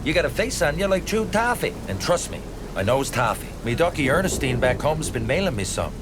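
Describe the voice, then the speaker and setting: A man's voice: fairly high pitch, big pitch swings, a little fast, background noise. A man playing a good-natured, lovesick soldier in a video game, folksy and rambling, with a Newfoundland accent. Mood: cheerful concern. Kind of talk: dramatic video game acting.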